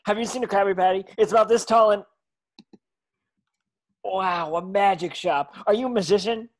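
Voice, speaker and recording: A man voicing a cheerful, naive cartoon sea sponge in a table reading, chattering excitably. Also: high-pitched, moderate pace, natural voice. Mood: awed, chatty.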